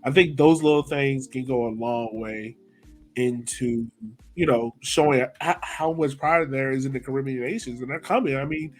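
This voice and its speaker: A man with a mid-range voice co-hosts a casual podcast conversation. He speaks in a relaxed, earnest way, leaning on easy fillers as he makes his case. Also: mid-range voice, moderate pace, faint music behind. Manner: relaxed, earnest, many "like" and "you know" fillers. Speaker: podcast co-host.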